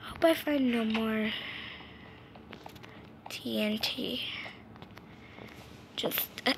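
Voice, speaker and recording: Young woman, high voice, slow pace, playful sing-song gameplay role-play, noisy phone recording. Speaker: host of a gaming channel.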